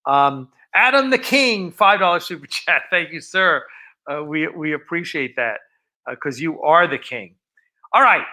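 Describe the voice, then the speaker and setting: A man's voice: fairly high pitch, bright, forceful tone. A man hosting a casual livestream, chatting in a jokey, talkative way. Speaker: livestream host. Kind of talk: casual live chat.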